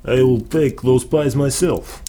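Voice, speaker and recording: A man voicing a huge, rude, greedy bear in a children's story, slow and blustering, with a gravelly growl. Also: medium pitch, mellow, pitched above the performer's own voice, close to an everyday voice. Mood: cross, bossy.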